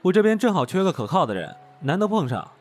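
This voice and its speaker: A man's voice: sits high, very animated, fast talker. An actor playing an eager, chummy young male manager in an audio drama, friendly and boisterous. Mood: indignant, chummy. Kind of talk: Chinese audio drama acting.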